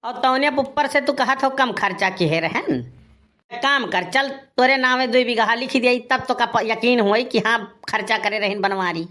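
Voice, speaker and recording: A man's voice: very high-pitched, measured pace, sharp tone, exaggerated voice. A man playing an aggrieved, boastful village husband in a cartoon comedy, grumbling and scolding with a rustic eastern Uttar Pradesh accent. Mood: exasperated. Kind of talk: Awadhi cartoon comedy dialogue.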